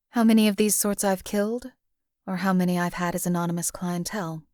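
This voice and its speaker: A woman voicing a cold, detached female assassin in a game, speaking in a measured, formal, matter-of-fact way. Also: on the low side, a little mellow, no echo, clean studio sound, close to an everyday voice. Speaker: game companion character. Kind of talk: voiced game companion lines.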